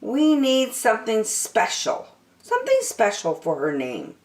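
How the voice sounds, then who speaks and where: A gentle storybook mother, played by a woman reader, soothing and reassuring as she explains. Her pitch moves in lively curves, and the room echoes around her. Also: unhurried. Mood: thoughtful.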